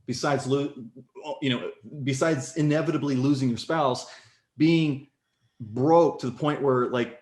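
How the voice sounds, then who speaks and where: A man in his forties telling his story as a podcast guest in casual conversation, animated and leaping up in pitch when excited. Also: measured pace. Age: 40s. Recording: echoey room.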